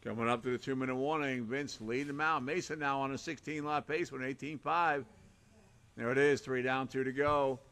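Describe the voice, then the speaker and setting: A man calling a live RC car race with a hoarse, fading voice, rattling off names and lap times in short bursts. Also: medium pitch, fairly even intonation, brisk, fairly bright tone. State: hoarse, losing his voice. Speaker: race announcer.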